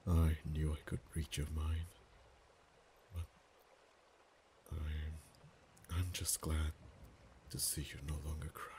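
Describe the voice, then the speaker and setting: A man voicing a proud, haughty male dragon in an ASMR romance roleplay, speaking softly with whispered and stammering stretches. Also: rumbling low, slight background noise. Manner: soft-spoken, partly whispered, halting stammers.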